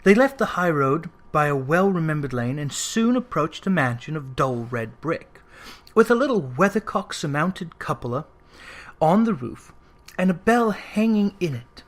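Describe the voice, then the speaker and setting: An audiobook narrator reading a classic story aloud in an expressive, scripted storytelling style. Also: medium pitch, normal speed.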